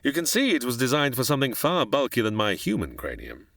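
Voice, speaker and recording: A man's voice: medium pitch, huge pitch swings, fast, gravelly, fairly bright tone, no room sound, studio quality, full character voice. A man playing a proud, learned older scientist who lectures formally and grandly in a radio sci-fi drama. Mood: instructive. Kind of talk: old-time radio sci-fi drama acting.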